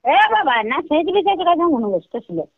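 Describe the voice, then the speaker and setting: An older woman's high voice comes through a narrow, tinny phone line. She has a rural Kolhapur Marathi accent and talks bluntly and earthily in casual conversation. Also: very animated, a little fast, gravelly, no echo. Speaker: prank call recipient, video creator.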